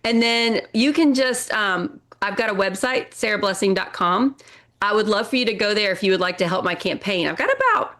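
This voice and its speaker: A woman's voice: medium pitch, normal speed, sharp tone. A woman in her forties from Indiana, a political candidate, talking in an earnest, eager way as a podcast guest. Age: 40s. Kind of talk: casual interview chat.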